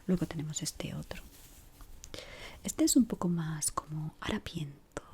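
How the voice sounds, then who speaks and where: Whispering Spanish woman, soft ASMR roleplay. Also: sits low, lively intonation, breathy edge. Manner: soft, unhurried, gently instructing. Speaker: ASMR performer playing a decorator guiding a newcomer.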